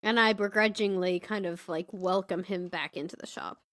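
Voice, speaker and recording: A woman's voice: on the low side, moderate pace, fairly bright tone. A woman playing a tabletop role-playing game, describing what her character does. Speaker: tabletop game player, podcast host.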